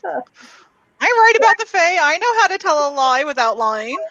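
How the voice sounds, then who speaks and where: A woman, a fantasy author co-hosting a chat, dropping wry quick quips. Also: sits high, big pitch swings, a bit bright, no echo, dull audio. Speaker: podcast co-host, fantasy author. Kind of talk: casual chat.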